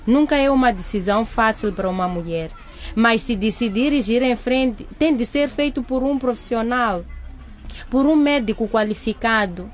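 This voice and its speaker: A woman's voice: a little high, normal speed, faint music behind, lightly acted. A woman playing a middle-aged grieving mother in a radio drama, frank, emphatic and earnest. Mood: earnest, advising. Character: grieving mother, blunt, guilt-ridden, advising a younger woman.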